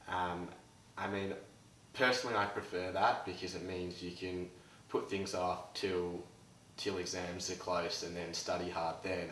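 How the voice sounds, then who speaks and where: A man's voice: low voice, a bit bright, a little hiss or hum. A young man with an Australian accent, an exchange student giving easygoing answers in an interview. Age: young adult. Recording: echoey room, mic at a distance.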